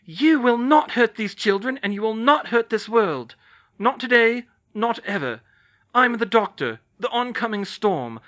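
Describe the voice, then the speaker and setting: Storybook heroine: fierce, commanding young witch, read by a man, raised high and bright. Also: lively intonation, normal speed, dull audio. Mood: defiant. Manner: intense, declamatory.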